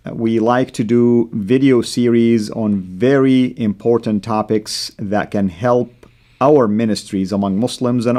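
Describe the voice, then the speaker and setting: A Saudi-born man, a native Arabic speaker with an American accent, hosting a studio discussion in a measured way with many fillers. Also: medium pitch, dark tone. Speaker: host.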